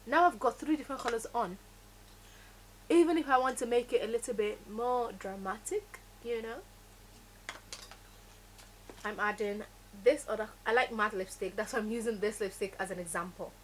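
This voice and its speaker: A woman's voice: on the high side, normal speed, pauses often, a little hiss or hum, low-quality recording. Woman teaching makeup. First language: Yoruba. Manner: instructive, emphatic, repeats key words. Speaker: makeup tutorial host. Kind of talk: step-by-step beauty tutorial.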